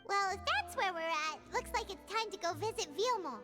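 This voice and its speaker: A woman voicing a small, blunt companion creature in a game, in a high, whiny, complaining voice. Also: animated, fairly quick, music in the background. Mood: resolved. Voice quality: high squeaky.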